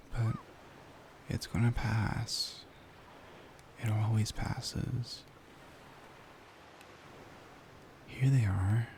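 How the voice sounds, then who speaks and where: A man playing a caring, worried boyfriend in an intimate ASMR roleplay, speaking softly and soothingly, often near a whisper. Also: on the low side, vocal fry, background noise, full character voice. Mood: reassuring, tender. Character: caring, worried young boyfriend comforting his partner.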